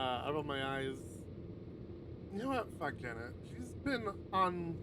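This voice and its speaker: High-pitched man livestreaming, with noticeable background noise. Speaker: streamer reading a visual novel aloud. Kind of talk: casual livestream read-aloud with commentary.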